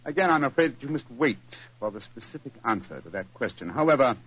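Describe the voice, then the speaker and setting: An actor in a 1940s radio drama playing a shrewd, self-assured British gentleman detective, crisp and courteous in delivery. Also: medium pitch, sing-song, quick pace. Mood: brisk, confident. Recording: dull, noisy 1940s radio recording.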